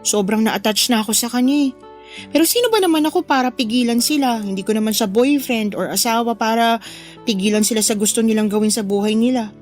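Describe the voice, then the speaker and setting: A woman plays a young daughter with a notably high voice. She is sweet, clingy and stubborn, voiced in the heartfelt manner of Tagalog radio drama, with soft music behind her. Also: brisk, close to an everyday voice. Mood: wistful, chatty.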